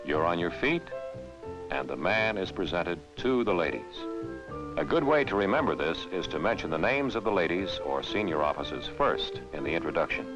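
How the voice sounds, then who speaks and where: A man's voice: deep voice, lo-fi sound. A man narrating a 1960s instructional film in a wry, conversational lecturing manner. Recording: band-limited 1960s film soundtrack, music behind. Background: background music.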